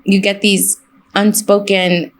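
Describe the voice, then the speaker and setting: Emphatic woman with a mid-pitched voice. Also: flat delivery, slow pace. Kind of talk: personal conversational storytelling.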